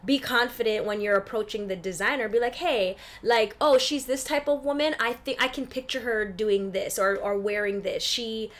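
A woman's voice: fairly high pitch, steady tone, moderate pace, hardly any pauses, fairly bright tone. A woman speaking as an interview guest in a casual, informal way, explaining things with lots of "like" and "you know" and acting out bits of dialogue. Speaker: interview guest, actress and film industry buyer.

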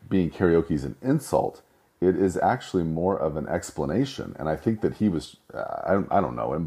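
A middle-aged man with a low voice, talking casually and rambling with restarts. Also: warm tone. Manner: rambling, self-correcting storyteller. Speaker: host. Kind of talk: casual monologue.

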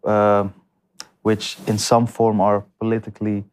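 A man answering interview questions in a halting way, with many restarts and fillers. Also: on the low side, completely flat delivery, a little mellow, clean tone. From came to the Netherlands as a refugee. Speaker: guest. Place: lives in north Amsterdam.

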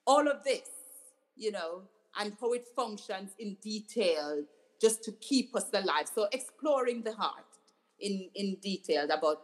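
A woman's voice: mid-range voice, poor audio. A middle-aged woman from Jamaica, a doctor and author, speaking earnestly as an interview guest, often restarting phrases. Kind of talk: interview conversation.

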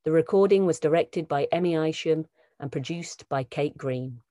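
Low, mellow woman reading credits. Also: normal speed, no room sound, clean studio sound. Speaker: credits announcer. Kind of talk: scripted closing credits read.

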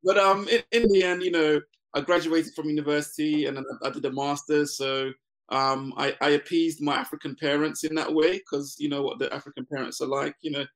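A man in his forties from Ghana, a business founder talking as a guest in a casual interview, relaxed, laughing often and using many fillers. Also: a little high, brisk, poor audio.

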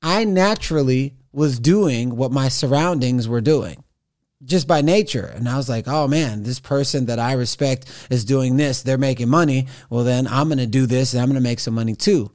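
A man of forty, a podcast guest, telling his story in an animated, conversational way with frequent restarts. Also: medium pitch, moderate pace. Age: 40s. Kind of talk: conversational interview.

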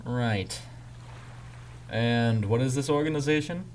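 A man's voice: mid-range voice, takes it slowly, a little mellow, slight background noise, voice pushed up, natural voice. A man voicing a curious, firm inspector with a dry, questioning manner in a narrated story. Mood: firm, skeptical.

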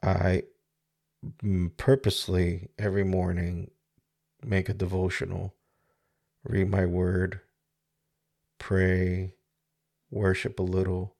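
A man in his forties from the Dominican Republic reflects aloud on his own podcast, speaking into a good studio microphone. His voice sits deep and flat, and it drags with gravel as he moves slowly through halting, searching thoughts. He pauses and restarts often. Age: 40s. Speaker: podcast host. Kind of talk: reflective solo podcast monologue. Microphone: studio microphone with mixer.

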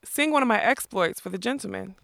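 A blustering outlaw captain, played by a storybook reader, full of grand boasts. He declaims theatrically in a forceful, creaky voice with crisp words. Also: mid-range voice, animated, fairly quick, no room sound, heavily acted. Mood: proud, eager.

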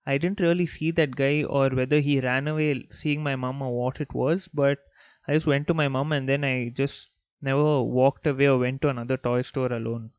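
A young man from Bangalore whose first language is Malayalam, hosting a podcast and telling childhood stories in a relaxed, rambling way full of "like" and "you know". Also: medium pitch, normal speed, dull audio, slight background noise. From Bangalore, India. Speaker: podcast host. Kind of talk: casual storytelling monologue.

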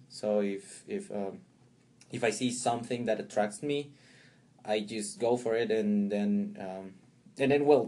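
Low-voiced Peruvian man telling a story. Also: dark tone. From Peru. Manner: rambling storyteller with many fillers and restarts, laughs often. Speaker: guest. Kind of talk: casual interview chat.

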